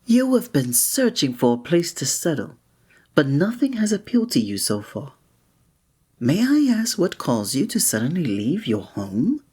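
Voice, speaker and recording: Guy doing a gentle flirty innkeeper for audio roleplay, breathy, really expressive pitch, talks all formal and olde worlde. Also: full character voice. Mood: shocked, sympathetic. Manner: formal old-fashioned diction, gentle and attentive. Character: kindly, flirty male village innkeeper, courteous and tender. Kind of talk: scripted roleplay audio drama, one-sided conversation with the listener.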